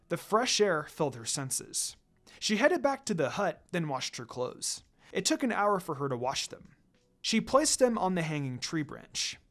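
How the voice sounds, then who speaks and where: A man reading a fan fiction story aloud in an expressive narrating style. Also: high-pitched. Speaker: fan fiction reader, channel host. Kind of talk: expressive scripted story narration with casual asides. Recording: music under the voice. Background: music.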